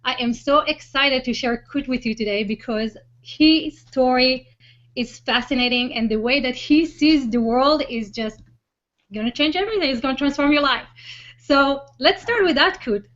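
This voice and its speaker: A woman's voice: high-pitched, moderate pace, fairly bright tone. A woman hosting an online interview show, speaking in an enthusiastic presenting style over a video call. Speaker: host of a web show. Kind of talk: enthusiastic hosted introduction and interview. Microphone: online video hangout call. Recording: dull, lo-fi web call audio.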